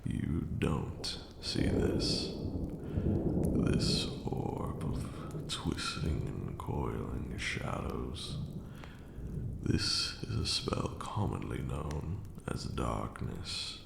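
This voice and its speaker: Abyssally deep, gravelly vampire lord. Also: male voice, animated, reverberant, big-room sound, heavy background noise, heavily acted. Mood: quietly proud. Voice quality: gravelly, breathy drops into near-whisper. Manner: slow, deliberate, hushed, formal archaic phrasing. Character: ancient male vampire lord, brooding, remorseful, darkly grand mentor. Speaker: ASMR roleplay performer. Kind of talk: ASMR roleplay monologue, dramatic storytelling.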